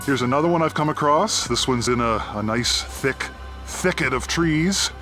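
A man with a Canadian accent narrating outdoors in a forest as he explores, pausing often with "uh" and recorded on a handheld camera mic. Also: medium pitch, over music. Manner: thoughtful, pauses often with "uh". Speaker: abandoned mine explorer. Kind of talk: walking narration while exploring.

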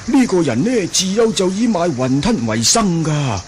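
An actor playing an earnest, knowing martial-arts disciple who explains things in a Hong Kong Cantonese radio drama. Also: male voice, high voice, very animated, normal speed. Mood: explaining. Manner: explanatory storytelling. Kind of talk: 1980 radio drama acting. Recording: old radio drama, slight noise.

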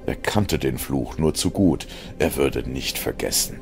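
A man's voice: a bass voice, animated, measured pace, vocal fry, a little mellow. A man reading scripted science fiction as a narrator, in a dramatic style. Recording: music behind the narration. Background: music.

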